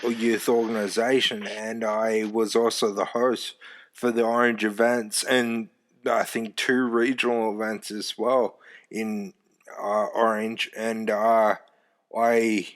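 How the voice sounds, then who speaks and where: A man with a deep, loud voice, telling animated stories in casual chat and swearing casually. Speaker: former youth music event host.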